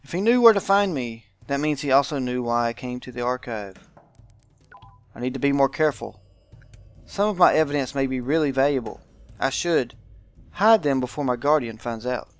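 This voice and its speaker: A man's voice: mid-range voice, expressive, normal speed, close to an everyday voice. Man reading as a wary young male game hero. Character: wary young male ward hiding from his guardian. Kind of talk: visual novel character read-aloud.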